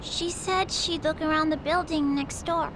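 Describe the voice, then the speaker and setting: A woman's voice: high-pitched, steady tone, unhurried, background noise. A woman playing a sweet young girl in a video game cutscene. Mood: earnest. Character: sweet young girl searching for her lost cat.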